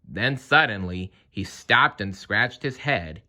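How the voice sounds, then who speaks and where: A man narrating a children's story aloud in an expressive storytelling style. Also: on the low side, takes it slowly. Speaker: story reader.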